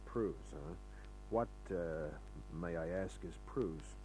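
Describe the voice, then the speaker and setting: Low-voiced wisecracking radio detective. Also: male voice, slow pace, warm tone, soft background music, poor audio. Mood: teasing, casual. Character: wisecracking male private detective, flirtatious, tough, easygoing. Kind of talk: old-time radio drama acting with first-person narration. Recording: old radio recording with hum.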